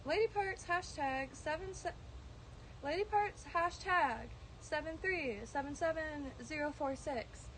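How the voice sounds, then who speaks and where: A woman's voice: high voice, unhurried, a bit bright, a little hiss or hum, poor audio. A woman from Florida, chatting loosely in a garden on a live stream, full of ums and restarts and the odd swear. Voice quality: droning at times. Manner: meandering, many ums and restarts, casual swearing. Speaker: plant vlogger streaming live. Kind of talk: casual rambling livestream chat. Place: outdoors in a garden.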